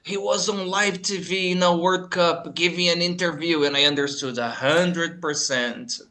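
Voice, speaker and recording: A man's voice: on the high side, fairly even intonation, sharp tone. A Brazilian man with a Brazilian Portuguese accent hosts a podcast in English, speaking casually with lots of restarts and fillers. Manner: halting, many restarts and fillers while asking. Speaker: podcast host. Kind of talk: casual chat.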